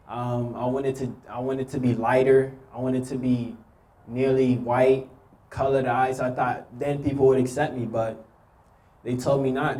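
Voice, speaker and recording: Nervous young man, dark flat voice. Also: medium pitch, low-quality recording. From Haiti. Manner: nervous, hesitant, many ums. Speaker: youth speaker sharing his story. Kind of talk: personal testimony speech.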